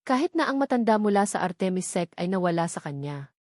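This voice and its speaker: A woman's voice: medium pitch, very animated, brisk, a little mellow, dry recording, lightly acted. Devoted young female disciple for an audiobook, voiced by a woman narrator, respectful, eager, dry recording. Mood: proud.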